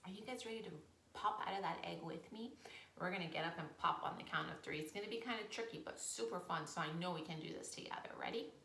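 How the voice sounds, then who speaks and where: A woman teaching yoga storytime to young children, encouraging and playful, with expressive storytelling and hushed, breathy moments. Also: lowish voice, quick pace. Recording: roomy echo with faint noise.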